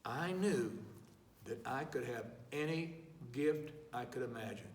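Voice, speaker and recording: A man volunteering as a reader, slowly and expressively reading a picture book aloud, clearing his throat between pages. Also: medium pitch, animated, a little hiss or hum. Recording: echoey room, mic far off.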